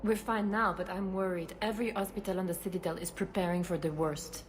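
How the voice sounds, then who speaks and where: A woman's voice: on the low side, steady tone, brisk, natural voice. A woman playing a composed, caring head physician in a dramatic video game. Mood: worried. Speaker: doctor. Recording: ambient background noise.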